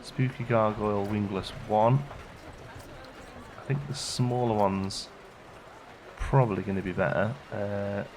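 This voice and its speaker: A man giving casual, low-key gameplay commentary as a video host, thinking aloud and muttering to himself as he works. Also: steady tone, unhurried, pauses often, darkish tone, slight background noise. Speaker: let's play video host.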